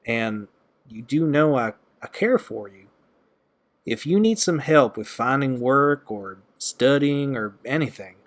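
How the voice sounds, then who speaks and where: Man acting as kind, playful young guy, animated. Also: mid-range voice, a little slow, natural voice. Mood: earnest, caring. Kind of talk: audio drama acting.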